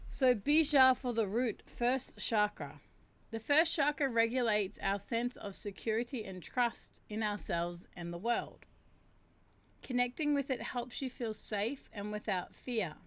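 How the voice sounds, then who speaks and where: A woman with a deep voice hosting a livestream, chatting casually and reading meditation instructions aloud. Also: fairly bright tone. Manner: chatty, laughs and reacts to chat; slows down to chant drawn-out syllables. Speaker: livestream host. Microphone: streaming mic.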